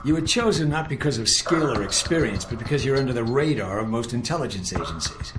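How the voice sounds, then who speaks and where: An actor playing a stern, sceptical older intelligence instructor in a video game, with a brusque, dry, formal manner. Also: male voice, mid-range voice, expressive, fast, noisy background, lightly acted. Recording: echoey room with music behind.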